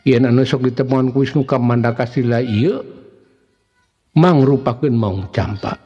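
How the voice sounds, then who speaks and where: A man's voice: mid-range voice, moderate pace, mellow, breathy edge. A man with a Sundanese accent narrating a folktale as a storyteller, in a dramatic style. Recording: muffled, long reverb, faint music behind.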